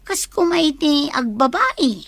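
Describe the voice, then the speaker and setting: A woman's voice: high voice, big pitch swings, slow pace, a bit bright, no echo, heavily acted. A woman playing a suspicious, meddling friend who hints carefully in an Ilocano radio drama. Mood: probing, suspicious.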